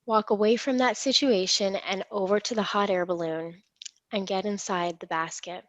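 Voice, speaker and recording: A woman leading a guided imagery meditation in a soothing, unhurried, reassuring manner. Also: medium pitch, fairly even intonation, no room sound. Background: gentle instrumental music.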